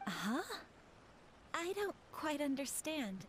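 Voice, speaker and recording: An actress playing a polite, apologetic shopkeeper auntie in a video game. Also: female voice, sits high, big pitch swings, takes it slowly, noisy background, heavily acted. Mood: curious. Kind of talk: video game cutscene voice acting.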